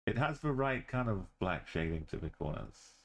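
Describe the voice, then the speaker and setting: A man's voice: fairly low pitch, sing-song, moderate pace, creaky. A man streaming a game, thinking aloud in short muttered phrases. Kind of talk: commentary while playing a game.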